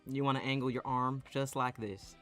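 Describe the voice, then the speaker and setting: A man's voice: mid-range voice, fairly even intonation, normal speed, a bit bright, soft background music, close to an everyday voice. Man acting a smug, teasing young playboy. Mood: boastful, cocky. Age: young adult. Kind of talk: audio roleplay acting.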